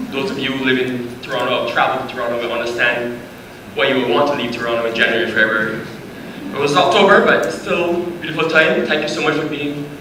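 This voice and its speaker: A man's voice: medium pitch, background noise. Barbados-born guy giving a conference talk, polite and chatty, far off the mic in a real echoey hall. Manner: polite, conversational, lightly self-deprecating. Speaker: guest speaker. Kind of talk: conference presentation.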